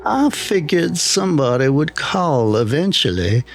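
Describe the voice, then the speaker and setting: Man voicing a weary, slow-talking old engineer. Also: on the high side, very animated, soft background music, pitched above the performer's own voice. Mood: resigned. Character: older retired male broadcast engineer, weary and plainspoken.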